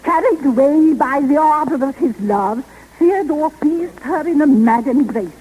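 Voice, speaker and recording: Slow, high, gushing lady novelist. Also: lively intonation, warm tone. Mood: swooning. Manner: theatrical, drawn-out, swooning delivery. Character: meddling, gushing amateur lady romance novelist. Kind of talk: 1930s radio comedy acting. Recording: tinny, noisy 1930s radio broadcast.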